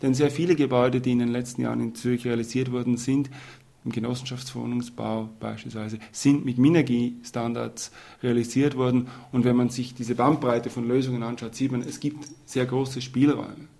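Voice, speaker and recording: A man speaks in a measured, explanatory way, taking his time as he lays out an argument. His voice has a gravelly roughness. He sounds like a historian being interviewed for radio. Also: mid-range voice, flat delivery, warm tone. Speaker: urban planning historian.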